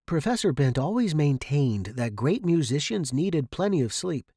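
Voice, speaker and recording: A man's voice: on the high side, big pitch swings, moderate pace, mellow, dry recording, studio quality. A man reading a first-person short story dramatically, as its narrator.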